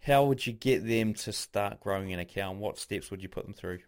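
A man's voice: on the low side, moderate pace. A man conducting a casual interview, asking conversational questions.

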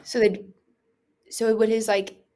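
A woman's voice: on the high side, level tone, slow, dark tone. A young woman co-hosting a podcast in casual chat, asking questions tentatively and searching for her words. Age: young adult.

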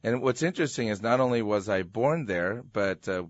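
Lower-voiced man telling his story, chuckling. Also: a little fast, no room sound. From USA. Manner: relaxed, chuckling, conversational. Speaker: pastor, interview guest. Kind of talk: personal storytelling. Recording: muffled, dry radio studio sound.

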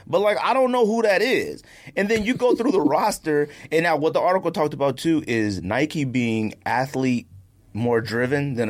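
A man with a very lively, swinging pitch talks as a podcast co-host. His delivery is casual and slangy, and he states his opinions plainly in loose, conversational chat.

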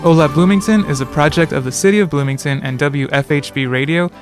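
A man's voice: a little high, normal speed. A man announcer reading a scripted radio station announcement. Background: loud music.

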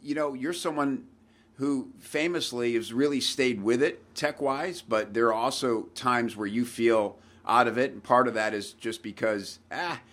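A man's voice: medium pitch, a little slow, a bit bright. A man in his forties hosting a podcast, chatting loosely with lots of fillers and restarts. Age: 40s. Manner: scripted intro then loose, filler-heavy talk. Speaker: podcast host. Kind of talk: casual podcast chat.

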